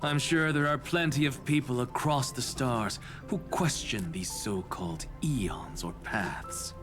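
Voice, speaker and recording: A man voicing an arrogant, philosophical professor in a video game, with a theatrical and deliberate delivery. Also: mid-range voice, over music, close to an everyday voice. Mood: provocative, musing. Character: arrogant, philosophical male scholar, blasphemous professor.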